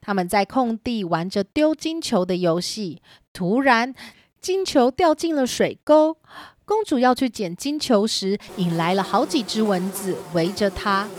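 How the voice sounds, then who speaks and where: A woman's voice: medium pitch, animated, unhurried. A woman narrator reading a scripted story for children.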